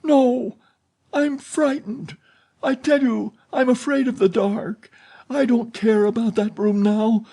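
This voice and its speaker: A man reading an audiobook, voicing a frightened young woman who pleads in a high, strained voice. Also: big pitch swings, measured pace, warm tone, slightly rough, heavily acted.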